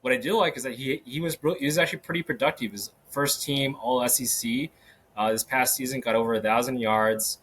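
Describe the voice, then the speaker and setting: A man hosting a solo sports podcast, talking casually with many fillers and restarts, his voice a little hoarse. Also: mid-range voice, brisk, fairly bright tone, slight background noise. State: slightly hoarse. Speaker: podcast host. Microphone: podcast microphone.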